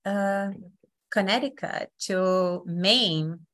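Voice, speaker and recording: Slow-talking Brazilian-accented woman. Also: medium pitch, fairly bright tone. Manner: friendly, unhurried, many fillers. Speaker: session facilitator. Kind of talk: hosting an online session.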